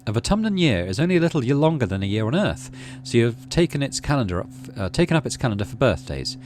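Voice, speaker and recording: A man's voice: mid-range voice, big pitch swings, quick pace, darkish tone, soft background music. A man reading out a story game as narration and adding casual commentary as he plays. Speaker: video game streamer.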